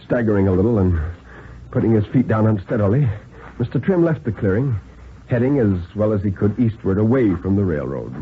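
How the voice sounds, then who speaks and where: Narrow-band old radio recording, man, animated pitch swings, deliberate dramatic storytelling, 1950s thriller reading. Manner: deliberate, dramatic, expressive phrasing.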